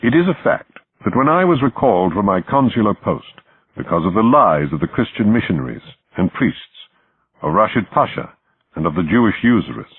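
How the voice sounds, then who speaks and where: A man's voice: on the low side, husky, voice pushed up, exaggerated voice. A man voicing a defiant, indignant adventurer who declaims insistently in an audiobook. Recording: muffled, phone-like, roomy audio.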